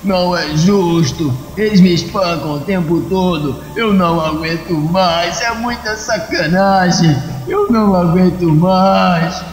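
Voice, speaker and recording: Homem fazendo um adolescente revoltado, voz tensa e elevada. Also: male voice, sits high, unhurried, hardly any pauses, echoey room, long reverb tail, faint music behind, pitched above the performer's own voice, rough recording. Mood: desperate, bitter. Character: bitter, desperate bullied teenage male attacker in costume.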